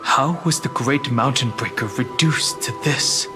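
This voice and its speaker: An actor playing a serious, questioning hero in a fantasy video game, speaking deliberately. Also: male voice, mid-range voice, lightly acted. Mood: stern. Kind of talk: dramatic video game voice acting. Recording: music behind.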